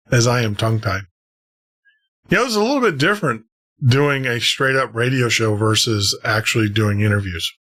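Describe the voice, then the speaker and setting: A man at mid pitch hosts a late-night radio podcast and wraps up the night in loose, chatty talk. He rambles upbeat, circling back to repeat words and restart phrases as he goes. His delivery stays casual and unscripted. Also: a bit bright. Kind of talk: casual on-air sign-off with announcements.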